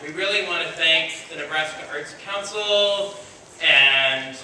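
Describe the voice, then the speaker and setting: A man giving an informal, halting thank-you speech to an audience as a visiting drama teacher. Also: on the high side, pushed and bright. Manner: halting, repeating words. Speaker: visiting artist leading school drama residencies. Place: school stage before an audience. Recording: echoey hall, distant mic, audience noise.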